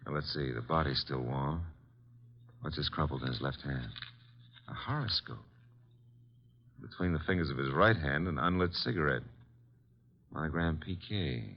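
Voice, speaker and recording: Low American detective sidekick, brisk, hard-boiled, questioning, old radio drama, muffled lo-fi recording. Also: male voice. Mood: probing. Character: wisecracking, brisk male private detective's assistant.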